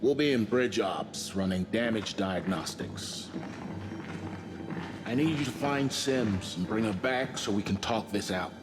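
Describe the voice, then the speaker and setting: An actor playing a steady, reassuring spaceship commander in a video game, calm and authoritative with clipped orders. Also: male voice, on the low side, measured pace. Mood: businesslike. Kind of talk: cinematic video game acting.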